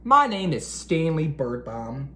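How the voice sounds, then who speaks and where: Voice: mid-range voice, big pitch swings, slow, a bit bright. A reader voicing a self-conscious, dramatic young schoolboy in a storybook read-aloud, in an exclamatory way. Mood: boastful.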